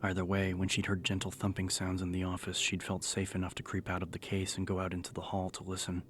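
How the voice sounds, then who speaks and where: Low-pitched, even-toned male horror narrator. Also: fast, slight background noise. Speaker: audiobook narrator. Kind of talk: scripted dramatic horror story narration. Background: music.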